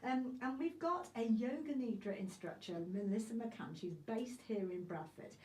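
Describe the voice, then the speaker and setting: A woman's voice: a little high, normal speed, darkish tone. A woman presenting a conversational radio interview in a studio, chatty and prompting her guest with questions. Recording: roomy, slightly distant, with clear background noise.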